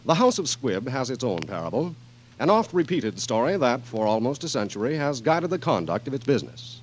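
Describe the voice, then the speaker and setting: An American man announcing in a formal, measured, scripted 1940s radio style. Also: medium pitch, very animated, rough recording. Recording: vintage 1940s radio broadcast, music behind.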